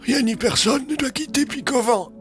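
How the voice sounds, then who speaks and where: Shrill, crazed old wizard. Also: male voice, normal speed, a bit bright, faint music behind. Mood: excited. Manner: exclaims single words, giggles, chants. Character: mad old bearded male wizard, childish, excitable, Gollum-like.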